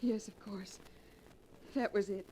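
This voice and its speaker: An actress playing a young bride in a 1940s radio drama, earnest, breathless and melodramatic. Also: female voice, medium pitch, steady tone, slow, warm tone, close to an everyday voice. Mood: frightened. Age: young adult. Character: young bride, eager, romantic, easily frightened. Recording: noisy 1940s radio recording.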